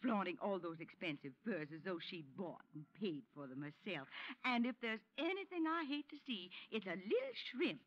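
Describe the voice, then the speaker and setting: An actress playing a prim, indignant, gossipy busybody in an old-time radio drama. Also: female voice, mid-range voice, animated, moderate pace, close to an everyday voice. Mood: huffy indignation. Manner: huffy, prim, chattering. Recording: muffled old radio recording.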